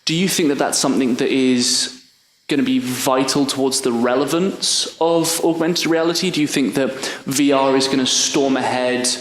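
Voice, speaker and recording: A man's voice: mid-range voice, fairly even intonation, normal speed, slight background noise. Man asking long questions in an echoey room. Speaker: audience member asking a question. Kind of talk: panel discussion.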